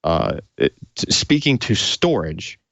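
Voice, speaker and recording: A man's voice: sits low, very animated, slow pace, gravelly, fairly bright tone, dry recording. A man co-hosting a tech show, explaining technical detail off the cuff in an enthusiastic, conversational way.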